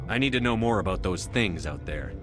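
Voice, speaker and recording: Guy as game hero soldier, lowish voice, talks fast n to the point, all business, music playing under. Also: expressive, close to an everyday voice. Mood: resolute, businesslike. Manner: direct, terse questioning. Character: resolute, businesslike male military commander hero. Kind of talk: scripted video game dialogue.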